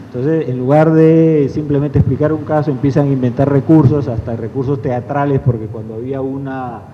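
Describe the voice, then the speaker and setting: A man's voice: mid-range voice, a little hiss or hum. A middle-aged man with a Lima accent from Peru, giving a wry, digressive public lecture with many fillers. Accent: Lima Peruvian Spanish. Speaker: guest speaker. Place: lecture hall. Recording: echoey hall, dull lo-fi sound.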